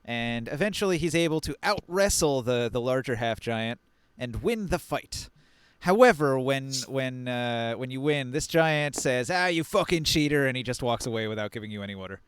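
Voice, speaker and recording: A man's voice: mid-range voice, expressive, moderate pace, a bit bright, clear, resonant tone, no echo. A man narrating as game master in a live tabletop game, playing the characters in his own voice. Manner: plays the NPCs in his own voice, narration with short quoted lines.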